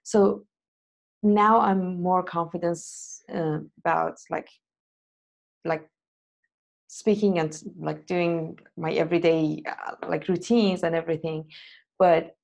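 A woman with a Persian accent and Persian as her first language, chatting in an interview in a halting, hesitant way. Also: fairly low pitch, fairly even intonation, gravelly. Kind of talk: casual interview conversation.

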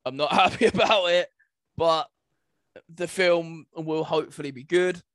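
A man's voice: on the high side, measured pace, fairly bright tone, no echo. A man talking casually as a co-host on a podcast. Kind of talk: casual chat.

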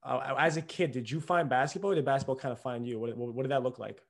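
A young man hosting a podcast at a quick clip, eager and chatty. He strings his questions together with frequent "I mean" fillers. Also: mid-range voice, fairly even intonation, vocal fry. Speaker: podcast host. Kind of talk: casual interview chat.

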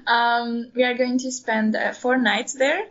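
A woman in her twenties, a guest in a casual interview, speaking hesitant non-native English with many pauses and fillers. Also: fairly high pitch, little pitch movement, a bit bright. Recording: dull lo-fi recording.

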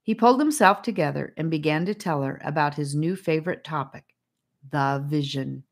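Female audiobook narrator, low register, scripted storytelling for a children's fantasy. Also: moderate pace.

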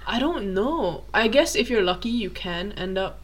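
A woman's voice: mid-range voice, lively intonation, normal speed. Chatty teasing young woman. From Malaysia. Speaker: co-host. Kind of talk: casual chat.

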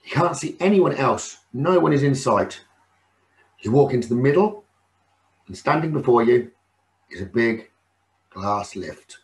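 Guy doing guided meditation, calming slow delivery w lots of pauses, medium pitch. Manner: soothing, unhurried, with frequent pauses. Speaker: meditation teacher.

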